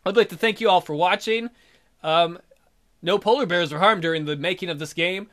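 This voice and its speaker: A man's voice: sits high, fairly quick, fairly bright tone. A man hosting a let's play, reading a visual novel aloud in a theatrical, jokey way. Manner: theatrical, jokey, hammy delivery. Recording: background music behind voice.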